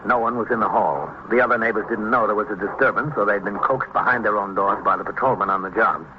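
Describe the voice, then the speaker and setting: An actor playing a steady American police captain who narrates and talks in a measured, matter-of-fact way in an old radio drama. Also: male voice, on the low side, natural voice. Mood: measured, factual. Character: steady, businesslike male police captain, narrating his case. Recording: noisy, dull vintage radio recording.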